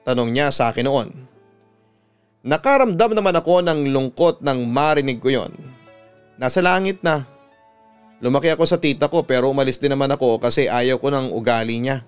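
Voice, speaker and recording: A Filipino man narrates a scripted story in the style of a radio announcer. His voice is smooth and clean, at a middling pitch, with a measured, dramatic delivery. Instrumental music plays softly behind him. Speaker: radio announcer and host reading listener stories.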